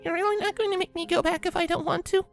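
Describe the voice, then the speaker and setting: A woman's voice: very high voice, steady tone, fast, creaky, faint music behind. A woman voicing a scared, defiant young pony filly with pleading, emphatic delivery in an acted audio drama. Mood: hopeful, unsure.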